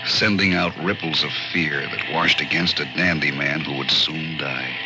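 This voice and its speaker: Very deep hard-boiled narrator. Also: male voice, normal speed, poor audio. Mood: grim. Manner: terse, dramatic. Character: hard-boiled male crime story narrator, grimly cool. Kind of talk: scripted noir narration, 1940s radio. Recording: old radio recording under loud music.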